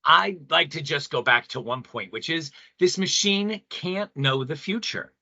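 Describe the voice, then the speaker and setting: An actor playing an indignant, devout spiritual believer in an audio play debate, earnest and impassioned against machines. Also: male voice, on the high side, lively intonation, unhurried, vocal fry, pushed and bright, dry recording, muffled recording, exaggerated voice. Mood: fervent.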